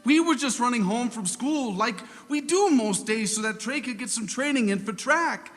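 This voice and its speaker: Guy doing upset school kid begging for help, radio play, super high voice, echoey. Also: animated, normal speed, fairly bright tone, faint music behind. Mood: pleading, distraught. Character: distraught schoolboy pleading for his wounded friend. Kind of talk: audio drama acting.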